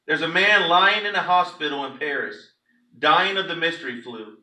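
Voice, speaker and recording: A man's voice: a little high, normal speed, pushed and bright. A man, a pastor, giving a theatrical, emphatic dramatic reading of a story to a congregation. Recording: echoey room, distant microphone.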